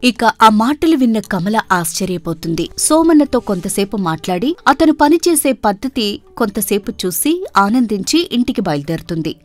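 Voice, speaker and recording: A woman narrating a scripted animated story as a storyteller. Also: mid-range voice.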